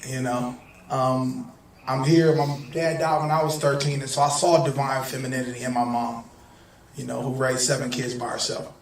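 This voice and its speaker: A hoarse man from Detroit, a singer, talking loosely and directly to a gathered audience in slangy, conversational speech. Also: medium pitch, flat delivery, moderate pace. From Detroit, USA. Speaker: singer and guest speaker. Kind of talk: casual heartfelt talk to an audience. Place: gathering in Malibu. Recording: echoey room, distant mic, music behind.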